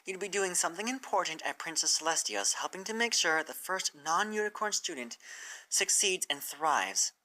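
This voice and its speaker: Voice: medium pitch, expressive, normal speed, bright, forceful tone, pitched above the performer's own voice, poor audio. A performer voicing a caring young female pony mentor who speaks in an earnest, coaxing way. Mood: persuasive.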